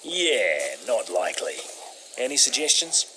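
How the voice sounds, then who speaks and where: Gruff captain, animated pitch. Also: male voice, unhurried, slight background noise, heavily acted, rough recording. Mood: frustrated. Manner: blunt, slangy. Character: gruff, stubborn, practical military captain survivor. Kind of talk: video game cutscene acting.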